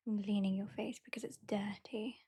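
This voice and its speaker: A British woman voicing a haughty, mocking female vampire in an ASMR roleplay, soft-spoken and often whispering. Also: mid-range voice, flat delivery, moderate pace, a little hiss or hum, lightly acted. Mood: teasing. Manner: soft-spoken, drifting into whispers, dryly sardonic. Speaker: ASMR performer.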